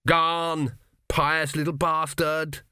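A man plays a skinny schoolboy bully from a storybook. The voice is raised well above an adult man's and is blunt, scornful and full of complaint, with animated pitch. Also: fairly quick, no echo, clean studio sound, exaggerated voice. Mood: scornful, annoyed.

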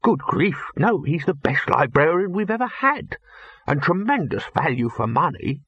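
A man's voice: fairly high pitch, sing-song, moderate pace, slightly rough, no echo, dull audio, voice pushed up, heavily acted. A man reading an audiobook voices a nervous, fussy male college bursar who stammers and placates. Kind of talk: audiobook character voice.

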